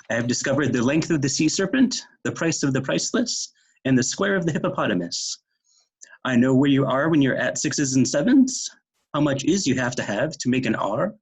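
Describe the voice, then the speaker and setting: A man plays a pompous royal mathematician with a husky, animated voice. Every figure comes out precise and emphatic, in the pedantic style of a storybook know-it-all. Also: mid-range voice, low-quality recording. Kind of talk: readers theater storybook reading.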